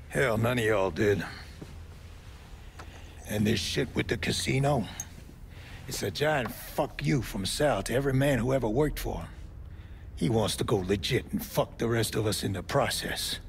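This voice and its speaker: A man's voice: mid-range voice, a little slow, creaky, pauses often, slight background noise. An actor playing a weary, blunt older American mob man with folksy, streetwise talk in a video game. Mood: wary, confiding. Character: weary, foul-mouthed old mob man. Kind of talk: video game cutscene dialogue.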